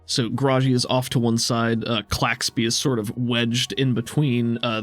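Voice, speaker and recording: A man in the game master's seat of a roleplaying podcast. His voice sits at a medium pitch as he describes scenes and guides play, with music faintly underneath. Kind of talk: narrating a tabletop game podcast.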